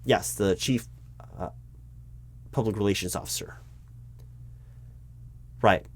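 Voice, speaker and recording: A man acting as a corporate recruiting manager with a fairly low voice. He is frank and exasperated, speaking in a conversational roleplay style. He hesitates, backs up to correct his wording, and keeps explaining his point. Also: slow pace. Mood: flustered, objecting. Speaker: roleplay audio performer. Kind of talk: one-sided conversational audio roleplay.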